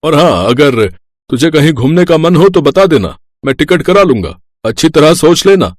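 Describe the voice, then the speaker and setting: A man's voice: on the high side, animated, normal speed, dry recording, clean studio sound. A man voicing a sly, lecherous older father-in-law in a cartoon, with a coaxing, smarmy manner. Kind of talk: cartoon dubbing.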